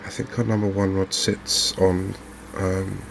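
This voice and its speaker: A softly spoken man with a low, gravelly voice gives ASMR-style game commentary. His delivery is very even and unhurried, as if thinking aloud, with faint noise behind him. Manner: soft-spoken, unhurried, murmuring to himself. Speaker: game streamer.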